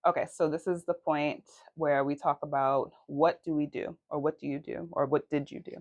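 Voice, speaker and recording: Young new woman, low voice, earnest, co-hosting a podcast, sounds a bit muffled. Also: steady tone, moderate pace, a little mellow, no echo. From New York City, Queens. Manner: earnest, faith-filled, laughs often. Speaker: podcast co-host. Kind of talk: casual chat.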